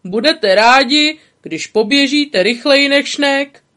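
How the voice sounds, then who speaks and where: A woman's voice: sits high, expressive, fairly bright tone, voice pushed up. A woman voicing a boastful, bossy little male bug who teases others in a storybook. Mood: teasing. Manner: brash, teasing, showy.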